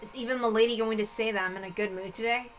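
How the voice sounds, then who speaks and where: A woman voicing a shy, earnest, sullen teenage servant boy in a quiet, hesitant manner for a story reading. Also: fairly high pitch, fairly even intonation, quick pace, faint music behind, close to an everyday voice. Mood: self-conscious.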